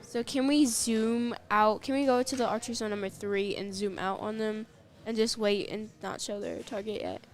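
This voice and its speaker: A woman's voice: mid-range voice, expressive, moderate pace, hardly any pauses. A teenage girl with an American accent hosting a casual live sports broadcast, informally giving camera directions as she talks.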